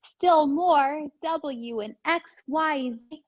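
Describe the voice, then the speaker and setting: A woman with a fairly high voice reads storytime books as a preschool teacher. She goes very slowly, with a sing-song, encouraging delivery. The sound is dull and lo-fi, close and dry. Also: no echo. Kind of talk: animated read-aloud for young children.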